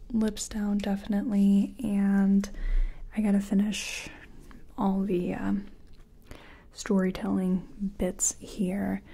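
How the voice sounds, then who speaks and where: A woman doing soft-spoken ASMR roleplay as a makeup competition contestant, half-whispering in a hesitant, chatty, self-effacing way. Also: mid-range voice, slightly rough.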